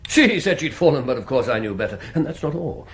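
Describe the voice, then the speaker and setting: An actor playing a zealous, self-important exorcist priest in a radio drama, speaking in a pompous, theatrical way. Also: male voice, mid-range voice, big pitch swings, fast talker. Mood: smugly knowing.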